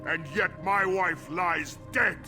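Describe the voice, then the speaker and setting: A man's voice: sits high, steady tone, slow pace, over music. An actor playing a proud, hard-headed, bitter widowed king in a video game, imperious and scornful. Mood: bitter grief. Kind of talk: scripted video game dialogue.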